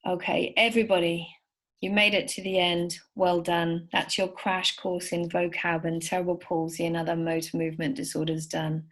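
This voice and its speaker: Woman, lower voice, warm encouraging lecturer telling stories to parents over slides. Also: flat delivery, moderate pace. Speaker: speech therapist presenter.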